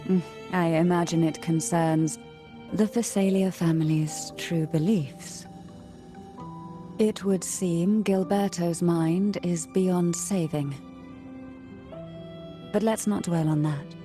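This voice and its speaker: A woman voicing a courteous, quietly commanding noblewoman in a video game, with formal, measured and cryptic delivery. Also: lowish voice, fairly even intonation, over music. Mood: poised, probing. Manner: slow, measured, formal and poised.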